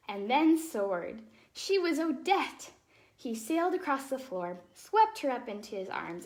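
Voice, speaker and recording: A woman hosting storytime, reading a fairytale aloud to children expressively, with casual explanatory asides in a softer, chatty manner. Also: a little high. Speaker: storytime host and ballet dancer.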